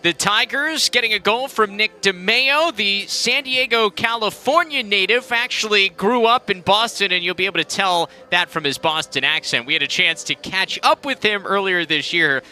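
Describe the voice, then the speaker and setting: A man doing play-by-play commentary on a college lacrosse broadcast, with brisk calls of the action. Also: high-pitched, sharp tone. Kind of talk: sports commentary.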